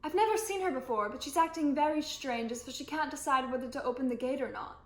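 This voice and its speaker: A woman's voice: high-pitched, quick pace, close to an everyday voice. A young woman in an amateur stage play acting a desperate, grieving mother, insistent and frantic. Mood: uneasy. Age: young adult. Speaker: student actor. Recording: echoey room.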